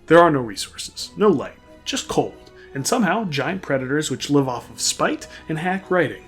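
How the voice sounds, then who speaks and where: A man's voice: on the high side, big pitch swings, moderate pace, breathy edge. A man delivering comedic scripted narration in a sardonic, mock-grand manner, tossing in irreverent asides. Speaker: narrator of a comedic fan lore video. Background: background music.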